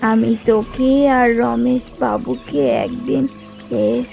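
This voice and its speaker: Slow, creaky old village woman, acted by a woman. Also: on the high side, flat delivery, mellow, full character voice. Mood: bitter, defiant. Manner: slow, defiant, vengeful. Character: bitter, grieving old village woman who cursed two villages. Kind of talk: cartoon voice acting. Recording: music behind the voice.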